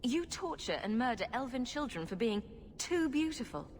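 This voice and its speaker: A woman's voice: a little high, sing-song, normal speed, in a large hall, slight background noise. A woman playing a sarcastic, wisecracking rogue adventurer in a video game, with a dry, unimpressed manner. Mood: wry sarcasm. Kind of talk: video game dramatic acting.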